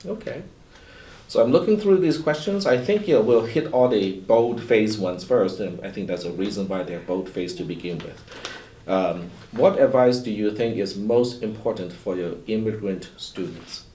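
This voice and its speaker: A Cantonese-speaking man, a college instructor, talking in a relaxed, rambling way full of "you know" in a panel discussion. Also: fairly low pitch, normal speed, a little hiss or hum. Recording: roomy echo, far from mic.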